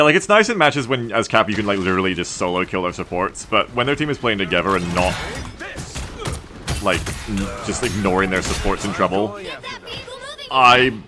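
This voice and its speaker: A man from Sydney with a mixed Australian accent, giving casual live commentary as he streams a game. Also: fairly low pitch. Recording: game music behind the voice. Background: video game music and effects.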